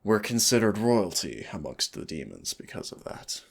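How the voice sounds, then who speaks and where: Man playing a very deep, gravelly demon prince. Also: very animated, heavily acted. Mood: proud, explaining. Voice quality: husky, gravelly. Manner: tender, unhurried, wistful storytelling. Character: gentle, affectionate demon prince, morally ambivalent, regal. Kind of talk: intimate roleplay audio acting, soft and soothing.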